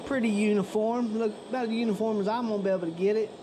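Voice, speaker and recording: Man, slightly high voice, folksy workshop chatter, faint background noise. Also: fairly even intonation, rapid-fire. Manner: folksy and chatty, talks to viewers as "y'all". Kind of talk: casual workshop narration.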